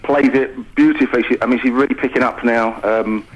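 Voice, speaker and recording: A man's voice: mid-range voice, steady tone, brisk, slight background noise. A British man who directs and teaches drama, chatting effusively as a guest in a radio interview. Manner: effusive, talkative. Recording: dull lo-fi radio sound.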